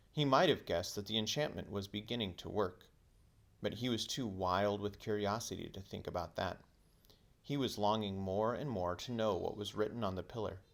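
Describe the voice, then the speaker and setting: A man reading a children's book aloud as a teacher would, in expressive storybook narration. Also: on the low side, creaky.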